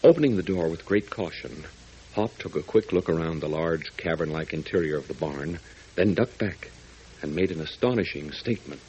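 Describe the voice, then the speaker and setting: A male radio announcer narrating a 1940s adventure serial in a dramatic, excited style, with a husky voice. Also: rumbling low, normal speed, slight background noise. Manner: dramatic, building excitement in countdowns. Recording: dull lo-fi 1940s radio transcription.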